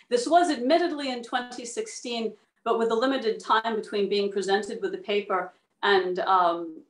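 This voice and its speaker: A woman presenting a research paper over a video call from a room at home. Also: mid-range voice. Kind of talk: dramatic reading of a scientific paper, then answering questions. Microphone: video call microphone. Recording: roomy, echoing video call audio.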